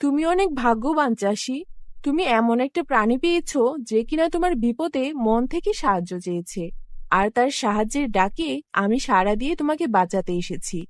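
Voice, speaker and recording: A fairly high female voice from an actress plays a good fairy in a cartoon folk tale. She is grand and commanding yet benevolent, with very lively intonation. The sound is dry and close. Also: a little fast, dry recording, lightly acted. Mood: kindly, instructing. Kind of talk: acted animated fairy-tale dialogue.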